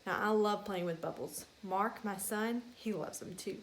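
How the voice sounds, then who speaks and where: A woman's voice: mid-range voice, moderate pace, a little hiss or hum. A woman talking to young children like a teacher at a storybook read-aloud, using simple phrasing and questions to her listeners.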